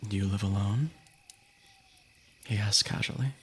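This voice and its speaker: A man with a gravelly, creaky voice casually reading stories as a livestream host, informal and soft-edged. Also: lowish voice, a little slow.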